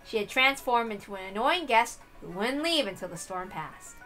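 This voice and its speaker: A woman narrates a visual novel with expressive delivery. Her voice is high and clearly bright, carrying the story as its reader. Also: normal speed, soft background music, poor audio.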